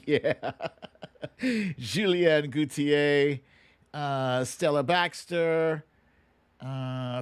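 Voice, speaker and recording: Male livestream host, higher-pitched and clean-toned, informal and playful, talking directly to the audience. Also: clean tone. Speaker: livestream host running a song-mashup guessing game. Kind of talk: casual livestream chat.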